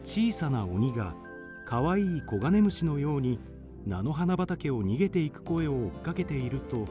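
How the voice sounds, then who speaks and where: A man's voice: on the high side, big pitch swings, normal speed, music in the background. A man narrating a children's radio drama in scripted storybook style.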